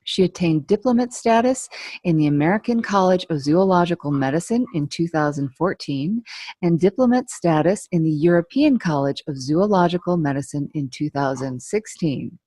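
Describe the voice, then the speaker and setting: Low-voiced woman podcast host. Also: no echo. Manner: measured reading, then laughing, animated questions. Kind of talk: read introduction, then casual interview chat.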